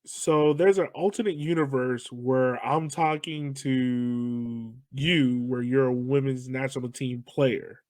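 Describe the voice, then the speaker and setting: A man's voice: mid-range voice, fairly even intonation, takes it slowly, few pauses, a little mellow, no echo. Casual male podcast host, dry close sound. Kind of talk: casual interview.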